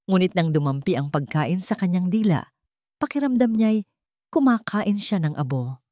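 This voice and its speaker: A woman with a Filipino accent reading a scripted love story in a measured, dramatic way, in short phrases. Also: fairly low pitch, animated, mellow, no room sound.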